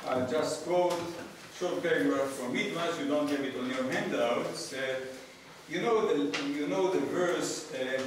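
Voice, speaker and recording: A man in his sixties with an Israeli accent lectures in a very echoey room, heard from well away. His voice sits fairly high, and as a native Hebrew speaker he delivers his material with wry, deadpan humor. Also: a little slow, recorded from a distance. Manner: wry, joking asides in a deadpan way. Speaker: professor, guest lecturer. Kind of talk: public lecture. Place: small lecture room with seated audience, indoors. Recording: echoey room from afar, noisy background.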